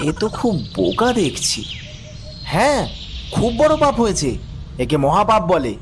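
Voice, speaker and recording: A man's voice: high-pitched, very animated, normal speed, vocal fry, noisy background, full character voice. A man voicing a cunning, boastful barber's son who poses as a priest in a cartoon moral story, theatrical and self-important. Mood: scheming, pompous. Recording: music bed behind the voice.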